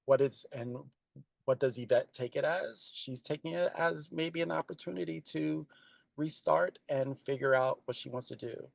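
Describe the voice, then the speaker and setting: A man answering questions as a screenwriter, speaking conversationally in a thoughtful, halting way. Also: on the high side, vocal fry, dry recording.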